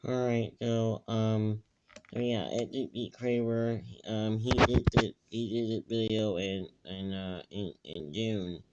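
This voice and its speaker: A man giving rambling casual commentary in a halting, repetitive way with muddled wording. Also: fairly even intonation, darkish tone, slight background noise. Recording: dull, echoey low-fidelity recording.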